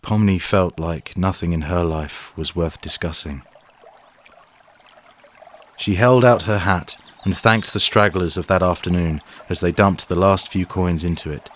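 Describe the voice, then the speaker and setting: A man's voice: low-pitched, a little slow. A man narrating a scripted fantasy story for an audio drama.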